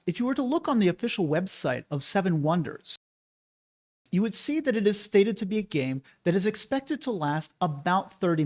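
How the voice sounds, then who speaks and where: A man hosting his own channel, talking casually and persuasively to the viewer, asking rhetorical questions. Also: high voice. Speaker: board game channel host. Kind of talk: casual opinion monologue.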